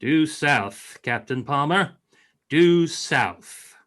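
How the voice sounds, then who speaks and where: A man acting in a radio play as a fatherly older sea captain who speaks with measured authority. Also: fairly high pitch, lively intonation, a bit bright, exaggerated voice. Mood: grave, commanding.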